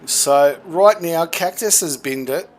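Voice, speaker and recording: A man commentating a hot lap on an online racing broadcast, brisk and cheeky. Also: a little high, slight background noise. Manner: brisk, cheeky and matter-of-fact.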